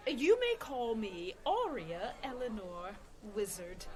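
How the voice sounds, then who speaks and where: A woman playing a gentle, devout and very polite cleric in a comedy radio play, earnest in every phrase. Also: on the high side, huge pitch swings, slow, crowd noise, heavily acted. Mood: sweetly earnest.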